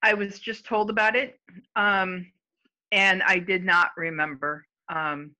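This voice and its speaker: A woman being interviewed at home in a casual chat. She answers slowly and hesitantly, with many fillers. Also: mid-range voice, steady tone, pushed and bright, rough recording.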